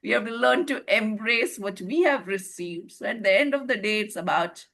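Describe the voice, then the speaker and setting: A woman giving her opinion in a group discussion on a video call, in a deliberate, reflective, moralizing way. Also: medium pitch, expressive, vocal fry, a bit bright. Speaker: book club participant.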